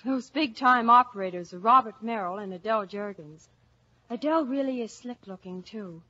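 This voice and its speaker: A woman's voice: on the high side, moderate pace, pushed and bright. A woman speaking in a scripted 1950s radio commercial, chirpy and enthusiastic, on a dull broadcast recording.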